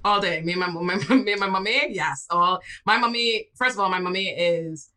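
A young woman from the Bahamas, a public defender, chatting casually on an interview show and acting out quotes with lively laughter. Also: lowish voice, moderate pace. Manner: animated storyteller, laughs often, acts out quotes. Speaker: public defender and political commentator.

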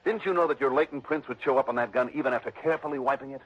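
A man's voice: medium pitch, racing, natural voice. An actor playing a tough, sardonic male insurance investigator in a 1960s radio drama, with a clipped, wry, pressing delivery. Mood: accusing. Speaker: lead actor. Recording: muffled old radio broadcast.

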